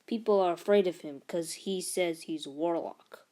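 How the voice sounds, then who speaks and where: A woman's voice: on the low side, moderate pace, warm tone, natural voice. A woman voicing a small, nerdy know-it-all schoolboy in a storybook, explaining things earnestly and wryly.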